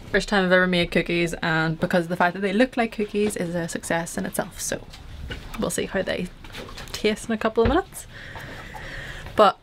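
A woman's voice: fairly low pitch, a little hiss or hum. Rambling young woman in a boat cabin. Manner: rambling, chatty, many fillers. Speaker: sailing vlogger. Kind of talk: casual chat to camera. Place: small wooden boat cabin indoors. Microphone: handheld camera at arm's length.

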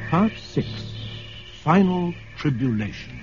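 A man's voice: a little high, sing-song, slow, lo-fi sound. A male radio announcer reading a scripted introduction to a drama in a vintage broadcast. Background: faint music.

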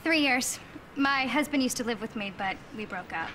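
An oddball homeless woman in a sitcom, candid and a little paranoid. Her voice is high and bright, with faint noise behind it. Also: animated, moderate pace. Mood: matter-of-fact. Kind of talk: sitcom acting.

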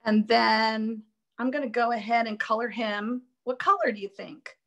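A woman teaching a live online drawing class, chatty and upbeat, thinking aloud as she works, her voice a little raspy from allergies. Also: fairly high pitch, fairly even intonation, normal speed, fairly bright tone. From Florida. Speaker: art instructor.

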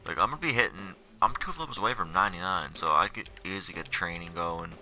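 A man's voice: sits low, fast. A tired young man in his late teens giving rambling, casual commentary on a video game. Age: late teens, seventeen. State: tired, up in the middle of the night. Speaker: video gamer narrating his career mode. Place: voice-over on game menu footage. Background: music.

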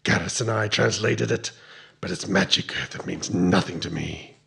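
A man's voice: low-pitched, normal speed, creaky, sharp tone, exaggerated voice. A man playing a serious henchman reporting to his leader in an audio drama, grave and deferential.

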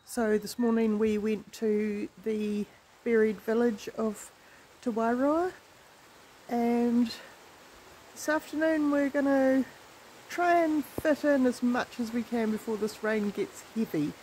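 Middle-aged New woman, playful vlogger, higher-pitched, recorded outdoors with light ambient noise. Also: slow, a little mellow, poor audio. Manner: chatty, playful, talks affectionately to animals. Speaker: travel vlogger. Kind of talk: casual travel vlog chat. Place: outdoors at a farm park and roadside. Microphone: handheld camera at arm's length. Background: birds, roosters and outdoor ambience.

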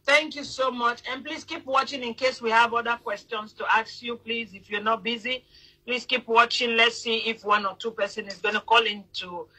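Woman, 30s, live-stream host on phone, bright, husky edge, emphatic and lecturing. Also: on the high side, steady tone, moderate pace, rough recording. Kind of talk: casual live-stream chat. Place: indoor room at home. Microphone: phone front camera.

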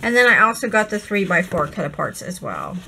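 A woman's voice: mid-range voice, sing-song, brisk, creaky, sharp tone, a little hiss or hum, low-quality recording. A woman losing her voice, chatting and gushing over craft supplies in a casual haul video at her desk. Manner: gushing, chatty, many ums. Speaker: crafting vlogger.

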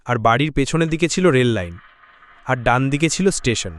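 A man narrating a cartoon ghost story in a plain, steady first-person telling. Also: medium pitch, moderate pace.

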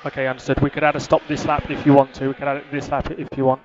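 A man working as a race engineer, giving calm, clipped, repeated instructions over a team radio. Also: mid-range voice, rapid-fire. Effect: team radio filter. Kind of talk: terse, instructional radio messages. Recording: muffled, noisy motor-racing team radio.

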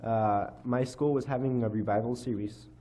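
Soft-toned teenage boy giving a halting testimony. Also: mid-range voice, steady tone. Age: teens. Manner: halting, many fillers and restarts. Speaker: youth giving a church testimony. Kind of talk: personal testimony speech. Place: church hall, indoors. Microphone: microphone on a lectern stand.

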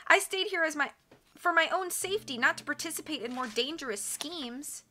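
A woman's voice: high voice, lively intonation, normal speed, bright, forceful tone, pitched above the performer's own voice. A woman narrator voicing a timid island woman who longs for safety and a simple life. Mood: pleading.

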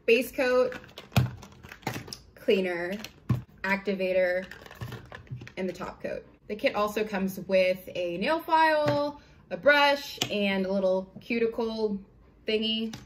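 A young American woman talks casually to camera like she is chatting with friends. Her voice sits at an average female pitch, with informal, slangy phrasing. She is recorded at home on a camera microphone, with a slight noise behind her. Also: slow, long pauses. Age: young adult. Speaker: product reviewer vlogger. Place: small home room, indoors.

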